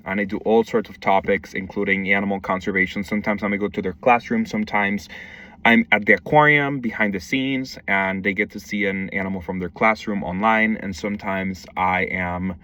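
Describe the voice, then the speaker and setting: A man's voice: on the low side, steady tone, fairly quick, sharp tone, no room sound. Young man from Mexico, distant mic. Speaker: wildlife conservation educator. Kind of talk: self-introduction video, casual monologue.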